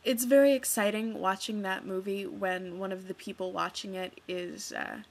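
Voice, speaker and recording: A woman's voice: medium pitch, fairly even intonation, normal speed, darkish tone. Chatty young woman from Kansas. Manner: chatty with hesitant fillers. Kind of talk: casual voice blog, reading a book aloud.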